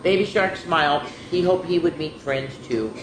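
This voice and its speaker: A woman reading picture books aloud to children, stopping for chatty asides to her young listeners. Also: moderate pace. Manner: animated, switches into higher voices for characters. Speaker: children's storyteller. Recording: echoey room with faint ambient noise.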